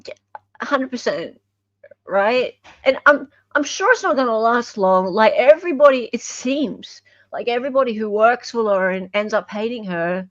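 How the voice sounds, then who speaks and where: A woman's voice: fairly high pitch, lively intonation, moderate pace, no room sound, highs cut off. A woman with an Australian accent hosting an online show, giving casual, emphatic commentary and repeating phrases for emphasis. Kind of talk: casual commentary on court documents and recordings.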